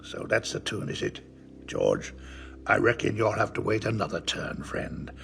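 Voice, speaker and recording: An actor playing a wily, domineering middle-aged pirate captain with a gravelly voice, theatrical and full of rustic sailor talk, in an audio drama. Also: male voice, lowish voice, sing-song, normal speed, a bit bright, soft background music, heavily acted. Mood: commanding.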